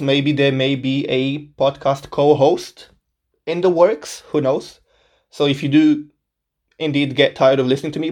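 A man's voice: mid-range voice, darkish tone. A man in his twenties from Portugal, a native Portuguese speaker, hosting his own podcast in a casual, upbeat, self-deprecating way. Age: 20s. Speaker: podcast host. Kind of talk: casual solo podcast introduction.

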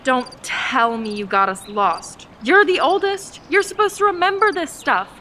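A woman acts a bratty young girl in an audio drama, stubborn and petulant. The voice is bright, sharp and fairly high, with faint cricket-filled night ambience behind it. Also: unhurried, slight background noise. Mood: annoyed, taunting.

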